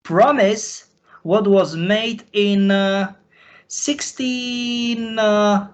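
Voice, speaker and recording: A man from Slovakia with a Slovak accent, a native Slovak speaker, talking off the cuff and confessionally in halting, repetitive, broken phrases. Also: high-pitched, fairly even intonation. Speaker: recurring guest on a question-and-answer show. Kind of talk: unscripted, confessional talk.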